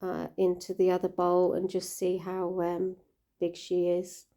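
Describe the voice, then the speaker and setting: A woman with a croaky voice, gently talking through caring for her koi in a casual vlog. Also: fairly low pitch, monotone, measured pace. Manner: gentle, caring, chatty with fillers. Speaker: koi keeper vlogger.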